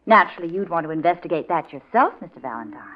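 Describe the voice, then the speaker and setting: An actress playing a pert, jealous detective's secretary in a 1940s radio drama, arch and teasing in manner. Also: female voice, lowish voice, very animated, fast. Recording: muffled old radio drama recording.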